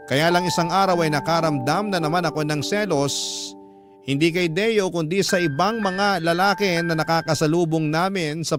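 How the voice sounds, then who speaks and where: A male radio storyteller reads a scripted first-person confession. His voice sits a little high and sounds dramatic. Music plays clearly underneath.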